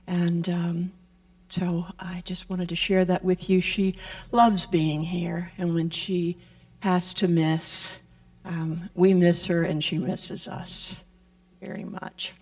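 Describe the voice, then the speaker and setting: A woman making gentle announcements and blessings during a church service. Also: lowish voice, level tone. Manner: slow, gentle, blessing-style delivery. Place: church sanctuary. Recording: voice picked up from a distance.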